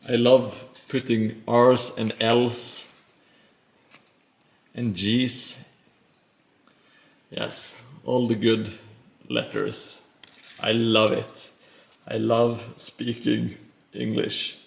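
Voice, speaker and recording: Slow, gravelly Norwegian-accented man. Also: medium pitch, flat delivery. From Norway. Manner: rambling and self-mocking, with frequent pauses. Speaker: streamer. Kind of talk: casual live-stream chat while building.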